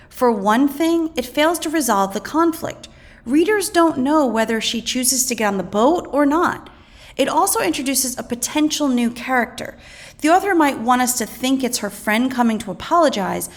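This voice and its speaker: A woman reading educational material aloud as a teacher, in an instructional way that explains and poses questions. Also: fairly high pitch. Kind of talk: scripted educational read-aloud narration.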